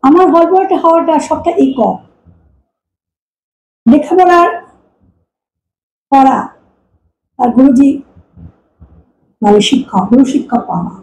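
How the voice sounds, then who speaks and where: A woman's voice: high-pitched, expressive, slow pace, pauses often, a little mellow. A woman from India, telling personal stories informally in fragmented, self-interrupting sentences. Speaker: folk vocal mimicry artist being interviewed. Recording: echoey room with faint noise.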